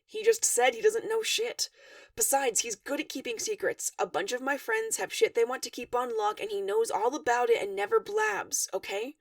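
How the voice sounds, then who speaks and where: A woman's voice: extremely high pitch, big pitch swings, normal speed, creaky, dry recording, clean studio sound, far from the mic, pitched above the performer's own voice, heavily acted. A woman voicing a defensive teenage boy from a story, blunt, slangy and exasperated. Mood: urgent, snappy.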